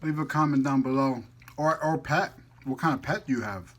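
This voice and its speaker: A man's voice: mid-range voice, normal speed, a little hiss or hum. A man chatting casually as a vlog host, playful and slangy, rambling as he goes. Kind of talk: casual chat to camera.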